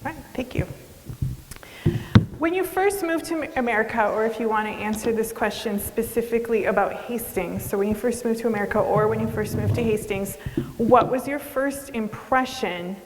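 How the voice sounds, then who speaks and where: A woman teacher moderating a panel, giving friendly, clear instructions. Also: medium pitch, a little hiss or hum. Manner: friendly, explanatory. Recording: long hall reverb.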